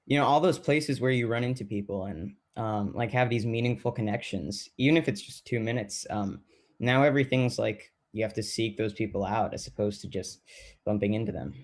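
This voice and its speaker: A man playing a thoughtful, lonely teacher in a documentary play, speaking casually and haltingly with many fillers. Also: lowish voice, lightly acted. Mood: wistful, reflective. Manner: hesitant, filler-heavy, halting. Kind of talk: casual documentary theater monologue.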